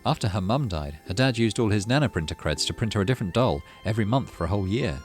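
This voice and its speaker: Low-voiced, animated male gamer reading aloud. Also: fast talker, soft background music. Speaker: gaming channel host. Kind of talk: let's play narration, reading game text with casual commentary.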